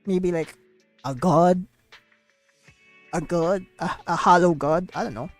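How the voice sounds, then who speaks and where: A woman's voice: lowish voice, slow pace, soft background music. A young woman livestreamer giving casual, rambling game commentary, repeating herself and filling sentences with "like" and "you know.". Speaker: VTuber livestreamer.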